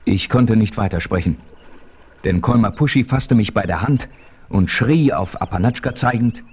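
Casting: steady hero-narrator, very deep, husky voice, calm and firm. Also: male voice, normal speed. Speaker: first-person narrator and hero. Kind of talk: 1970s radio play narration.

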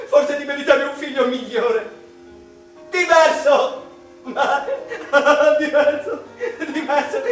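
An actor playing a tormented male mathematician confessing to his mother in a dramatic, deliberate radio drama monologue with repeated phrases and pauses. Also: very high-pitched. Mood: pleading, sorrowful, then bitter, ironic. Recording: echoey, distant stage recording with heavy noise.

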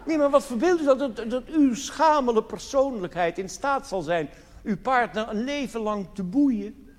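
A man's voice: very high voice, animated, moderate pace. Theatrical male cabaret comedian in a reverberant hall. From Netherlands. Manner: theatrical, mock-solemn, rhetorical questions. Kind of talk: live stand-up cabaret monologue with anecdotes. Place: theatre stage in a large hall. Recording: reverberant hall live recording with noise.

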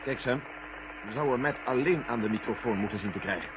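A man in a radio play acting a husky-voiced, sceptical, sardonic army general. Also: medium pitch, brisk, noisy background, close to an everyday voice. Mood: brisk, familiar. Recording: dull old radio play recording.

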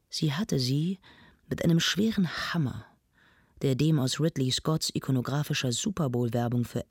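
An actress playing an earnest arts presenter, narrating a scripted mock documentary in a measured, formal, literary manner. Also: female voice, rumbling low, a little mellow, slightly rough, dry recording, studio quality, heavily acted.

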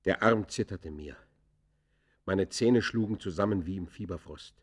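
An actor playing an embittered outlaw poacher, confessing in the theatrical, literary manner of a classic German radio play. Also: male voice, sits low, measured pace, breathy edge. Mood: tense, haunted.

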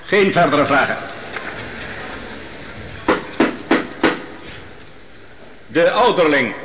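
A man's voice: fairly high pitch, sing-song, normal speed, heavy background noise. An actor playing a bold, righteous man who cross-examines witnesses in a biblical radio drama, commanding and probing. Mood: stern, accusing. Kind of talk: Dutch radio drama acting. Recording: long hall reverb.

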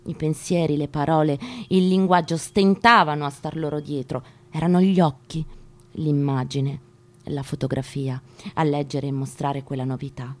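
A woman giving a dramatic literary reading, measured and emphatic, pausing between short phrases. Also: low-pitched, steady tone. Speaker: actress giving a staged reading.

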